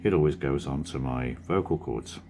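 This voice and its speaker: Hoarse man, very deep husky voice, rambling, slight background hiss. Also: normal speed. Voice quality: croaky, husky. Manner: rambling, self-correcting, drowsy. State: hoarse from a cold. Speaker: cartoonist vlogger. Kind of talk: casual studio vlog talk.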